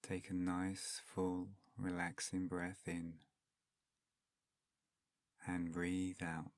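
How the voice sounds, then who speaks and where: A man guiding a meditation in a soft-spoken, soothing, unhurried manner with long pauses between phrases. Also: low-pitched, monotone, dry recording, studio quality. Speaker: meditation guide. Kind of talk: guided meditation narration.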